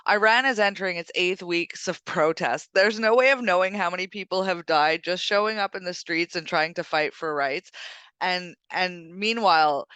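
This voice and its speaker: A woman's voice: fairly low pitch, a bit bright, clear, resonant tone, dry recording. A woman co-hosting a casual, opinionated discussion in an assertive, argumentative manner. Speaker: podcast co-host.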